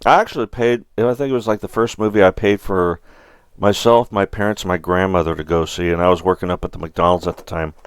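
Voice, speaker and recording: A middle-aged man hosting a gaming livestream, chatting casually in a rambling, halting way. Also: deep voice, fairly even intonation, smooth, no echo.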